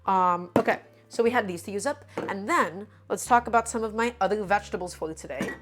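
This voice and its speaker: A woman's voice: mid-range voice, fairly quick, background noise. A woman hosting a live cooking stream, slightly sick and a bit nasal, talking in an upbeat, chatty way. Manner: upbeat, chatty, greets chat by name.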